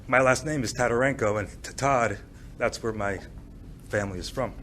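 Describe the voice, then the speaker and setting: A man speaks as a township administrator, reading formally in a public meeting room. His voice sits at a middling pitch, with faint noise behind it. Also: moderate pace, a bit bright. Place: indoor township council meeting.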